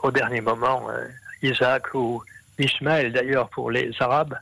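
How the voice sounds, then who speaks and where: A man's voice: medium pitch, little pitch movement, unhurried, vocal fry, sharp tone, slight background noise, low-quality recording. A man explaining ideas at length in an interview, with many fillers and restarts. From half Brazilian. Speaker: interview guest, scholar.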